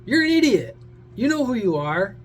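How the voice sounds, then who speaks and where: A man's voice: very high voice, very animated, normal speed, breathy edge. A man giving a casual, opinionated rant to his viewers, blunt, sarcastic, crude and exasperated. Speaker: social media creator and CB radio hobbyist.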